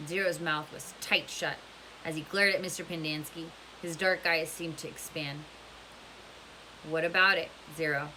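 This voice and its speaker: A woman's voice: low-pitched, fairly even intonation, normal speed, pushed and bright, poor audio. A woman narrating a storybook aloud, with hiss in the recording. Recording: clear background hiss.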